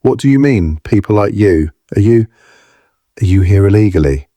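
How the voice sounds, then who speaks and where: A man's voice: fairly low pitch, lively intonation, normal speed, mellow, husky, no echo. An actor playing an ordinary suburban man, shaken and concerned, asking disbelieving questions in an audio drama. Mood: puzzled, concerned.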